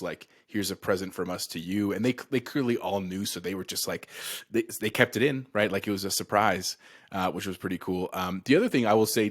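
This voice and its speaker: A man co-hosting a casual gaming podcast, talking in a conversational, rambling way. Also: fairly low pitch. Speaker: podcast co-host.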